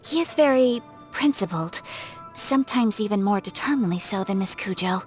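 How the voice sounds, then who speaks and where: A woman playing a gentle, refined young noblewoman in a video game, speaking with formal, poetic, soft-spoken politeness. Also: mid-range voice, lively intonation, moderate pace, close to an everyday voice. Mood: measured, composed. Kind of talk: scripted video game character voice lines. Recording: music behind the voice. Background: music.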